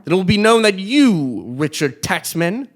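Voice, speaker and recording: A man playing a swooping, high-voiced, whimsical king. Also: big pitch swings, moderate pace. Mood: imperious, goading. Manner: theatrical, pompous, needling. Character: whimsical, imperious, illogical king. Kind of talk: live comic stage audio play. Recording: live theatre stage recording.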